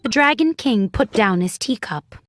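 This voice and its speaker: A woman's voice: fairly high pitch, lively intonation, moderate pace. A woman reading scripted children's story narration as an audiobook narrator. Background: music.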